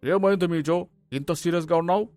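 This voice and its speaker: A man's voice: a little high, fairly quick, a little mellow, no room sound, soft background music, natural voice. A man voicing a secretive, concerned husband in a cartoon family story, questioning with urgency. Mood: concerned, surprised. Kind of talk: cartoon moral story dialogue.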